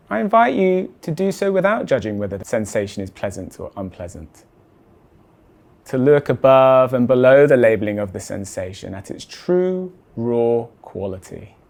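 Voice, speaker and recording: Measured man guiding a meditation. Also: medium pitch, vocal fry. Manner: measured, deliberate, with soft phrase endings. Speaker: meditation guide. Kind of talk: guided body scan meditation.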